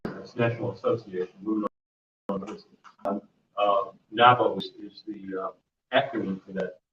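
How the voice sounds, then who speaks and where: Booming man presenting in an echoey room. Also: steady tone, moderate pace, fairly bright tone, a little hiss or hum. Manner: loose, rambling delivery with many fillers and restarts. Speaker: volunteer mentor presenting a workshop. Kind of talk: community workshop presentation. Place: meeting room. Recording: dull, echoey room audio from far off.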